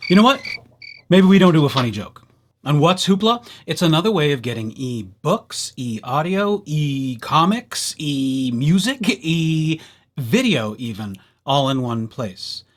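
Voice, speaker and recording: A man's voice: a little high, big pitch swings, unhurried. A man presenting a casual, jokey library tutorial, speaking straight to viewers and playing out little imagined exchanges. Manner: jokey, playful, addressing viewers directly. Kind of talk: casual scripted tutorial narration.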